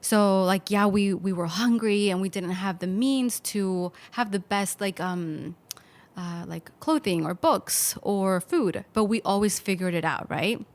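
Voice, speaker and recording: A woman's voice: mid-range voice, a little slow. A woman from Mexico, a podcast guest, speaking thoughtfully in casual conversation with many fillers. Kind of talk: conversational storytelling.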